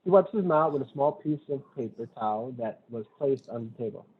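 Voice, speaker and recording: A man's voice: mid-range voice, animated, a little fast, husky. A man narrating a screenplay's stage directions in a plain, matter-of-fact way. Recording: muffled, dull lo-fi sound.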